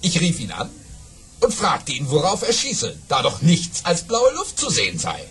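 A boastful storytelling baron in a children's radio play. His pitch leaps and plunges dramatically. He stretches pauses and throws in hesitant fillers to relish his tall tales. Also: wildly swooping pitch, fairly bright tone, faint music behind. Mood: mysterious, boastful. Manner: theatrical, savouring pauses, hesitant fillers for effect.

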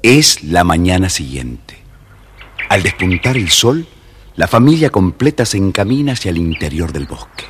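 A man narrating a children's fairy tale in an expressive, scripted style. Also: sits low, moderate pace, loud music behind the voice. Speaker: storybook narrator. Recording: noisy old storybook recording.

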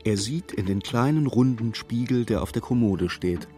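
A man's voice: medium pitch, lively intonation, normal speed, dark tone. A man narrating a radio play in scripted, literary present-tense prose. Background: music.

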